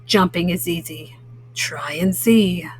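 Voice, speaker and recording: A grumpy storybook toad played by a woman, pitched well down into a gruff, breathy register. The toad talks slowly and coaxingly, as if to small children. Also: slight background noise. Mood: grumpy, inviting. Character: grumpy toad inviting others to leapfrog.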